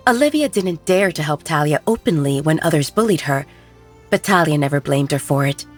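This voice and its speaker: Calm woman narrating a story. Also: deep voice, brisk, faint music behind. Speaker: audiobook narrator.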